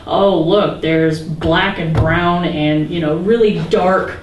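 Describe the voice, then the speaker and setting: Roomy, low-voiced woman chatting on a panel. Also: fairly even intonation, takes it slowly. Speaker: voice actor on a podcast panel. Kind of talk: casual panel chat. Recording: roomy, distant mic, faint background noise.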